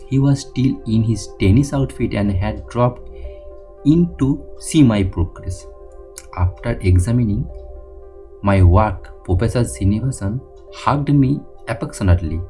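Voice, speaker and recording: A man reading an autobiography aloud, halting, with frequent slips and restarts. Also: mid-range voice, warm tone. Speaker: audiobook reader.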